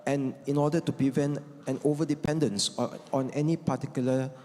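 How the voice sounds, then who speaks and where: A man from Singapore speaks on a policy panel, working through his points in a measured, orderly way with occasional "uh"s. His voice is of middling pitch and rings with the long reverb of a large hall. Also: steady tone, warm tone. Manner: measured, structured points with fillers. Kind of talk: conference panel remarks. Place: conference hall.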